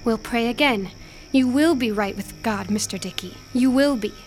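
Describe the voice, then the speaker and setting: A woman playing a pious, self-possessed high school girl in an audio drama, earnest and composed. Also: moderate pace, faint music behind, natural voice. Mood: righteous.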